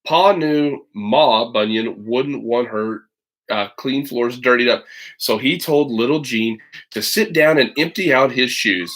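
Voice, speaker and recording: A man reading a children's story aloud as a teacher would, animated and chatty, slipping into casual asides. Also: mid-range voice, measured pace, low-quality recording.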